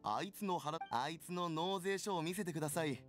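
A man voicing a petty, scheming merchant in an anime game, theatrical and excitable, grumbling with spite. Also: on the high side, normal speed, natural voice. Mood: spiteful, gloating. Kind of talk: Japanese anime game voice acting. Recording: background game music.

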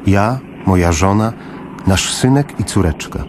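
A man narrating a literary radio drama in the first person, in a measured, somber manner. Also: low voice, noisy background.

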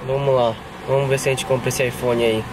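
A man's voice: mid-range voice, level tone, quick pace, background noise. A young man from Brazil, chatting upbeat to viewers while filming himself at arm's length in a busy store. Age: young adult. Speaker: travel vlogger. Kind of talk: casual vlog narration to camera. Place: busy electronics store and street outdoors. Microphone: handheld camera at arm's length. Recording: handheld camera in a crowded store. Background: loud crowd and music.

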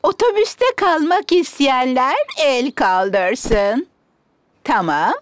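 A woman voicing a brisk, fussy rabbit bus driver in a children's cartoon, expressive, as if making announcements. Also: sits high, no echo, studio quality. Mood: brisk, announcing. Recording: music behind the voice.

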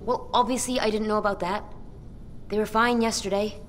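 A woman's voice: mid-range voice, fairly quick, a little hiss or hum, natural voice. An actress playing a dry, sarcastic young woman survivor in a video game cutscene. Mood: sardonic. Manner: deadpan, sardonic.

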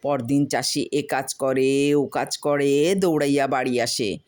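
A woman reading a humorous folk story as scripted narration, in an expressive, literary storytelling manner. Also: a bass voice, a little slow.

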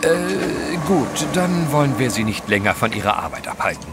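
An actor playing a cheerful, genteel gentleman adventurer in an audio drama, polished and jovial. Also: mid-range voice, very animated, normal speed, over music. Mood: upbeat. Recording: clear outdoor ship ambience behind.

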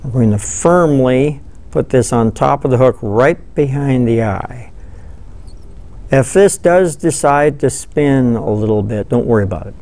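Older man, fly tying demo, folksy, thinks out loud, mid-pitched voice. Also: measured pace. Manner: relaxed, folksy, thinks aloud while working. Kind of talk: hands-on tutorial. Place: studio with dark backdrop, seated at table.

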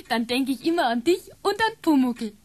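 An actress playing an affectionate, teasing sixteen-year-old niece in a radio play, gushing and playful, with a Bavarian accent. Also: female voice, sits high, sing-song, unhurried. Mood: delighted.

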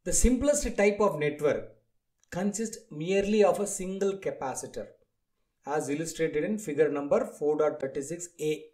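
A man with a fairly high, soft-toned voice delivers a prepared lecture. He reads deliberately, breaking the material into short phrases and numbered points. The timbre is mellow rather than sharp. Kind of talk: scripted lecture read from slides.

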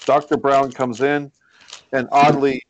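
A man's voice: medium pitch, level tone, unhurried, muffled recording. A man hosting a script read and reading out the stage directions. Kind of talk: scripted screenplay narration. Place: indoors on a group video call.

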